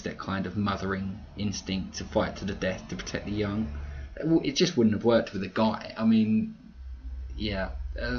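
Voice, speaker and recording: A man hosting a podcast, chatting casually in a rambling, conversational way with wry asides. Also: lowish voice. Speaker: podcast host. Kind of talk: casual podcast commentary, reading out listener comments. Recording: dull lo-fi audio with clear noise.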